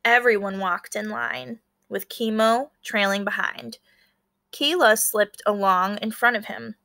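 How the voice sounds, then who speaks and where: A woman teacher reading a book aloud to fourth graders, pausing to explain and prompting them to notice and wonder. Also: medium pitch, sharp tone. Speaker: elementary school teacher. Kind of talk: read-aloud lesson for fourth graders, explaining as she goes.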